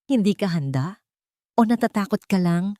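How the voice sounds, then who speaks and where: A woman's voice: medium pitch, expressive, normal speed, mellow, no room sound, natural voice. Woman narrator doing a bitter rich wife in an audio story, irritated, goading her husband, taglish, dry close sound. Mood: bitter taunting. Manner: irritated, goading, mixes English into Tagalog. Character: cold, nagging wealthy wife, bitter and taunting.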